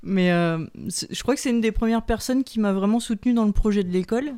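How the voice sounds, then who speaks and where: A woman guest on a radio chat, talking upbeat about her school, pausing often with "euh". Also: medium pitch, mellow, clear, resonant tone, no room sound, studio quality. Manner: hesitant, many "euh" fillers, upbeat. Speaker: studio guest, school founder. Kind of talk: casual interview chat.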